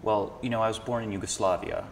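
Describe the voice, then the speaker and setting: A deep-voiced analyst, the man on a panel in a reverberant hall, thinking his answers through aloud. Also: fast, creaky. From Slovenia. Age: 30s. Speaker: interview guest, social scientist and analyst. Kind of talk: reflective conversational explanation. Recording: echoey hall with background noise.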